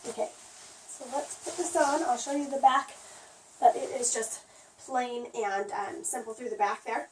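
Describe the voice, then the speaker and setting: A hoarse woman who has nearly lost her voice, chatting casually through a product review with lots of "um"s. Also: a little high, steady tone, normal speed, a little mellow, far from the mic, slight background noise, rough recording. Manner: chatty, rambling, frequent "um".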